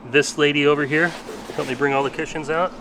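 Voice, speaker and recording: A man's voice: a little high, level tone, fast, music in the background, rough recording. A man in his late thirties rambling casually to his viewers about his home chores, in a chatty, self-deprecating way. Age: 30s. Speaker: car channel vlogger. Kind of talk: casual vlog. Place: backyard and garage at home.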